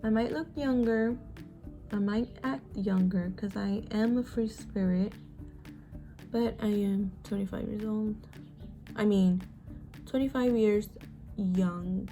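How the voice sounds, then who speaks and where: A woman's voice: medium pitch, slow pace, pauses often, dark tone, soft background music. A woman in her twenties, born in Mexico and raised in the US, chatting frankly and casually while doing her makeup, swearing freely and sighing often. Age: 20s. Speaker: beauty vlogger. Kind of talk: casual get-ready-with-me chat.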